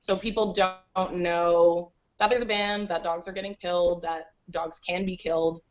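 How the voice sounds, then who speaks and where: A woman chats as a podcast guest, her words tumbling out quickly and sprinkled with "like." Her voice sits fairly low, and the call audio sounds muffled and lo-fi. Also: fairly even intonation. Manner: quick, chatty, peppered with "like". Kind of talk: casual interview chat.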